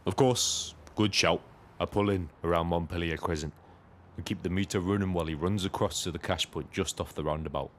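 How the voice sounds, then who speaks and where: A lonely British cab driver played by a male actor narrates in a very low voice. He is measured and observant, with a brooding air suited to scripted audio drama. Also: a little hiss or hum, lightly acted. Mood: polite, businesslike. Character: lonely, watchful male Brighton taxi driver. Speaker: narrator and lead character.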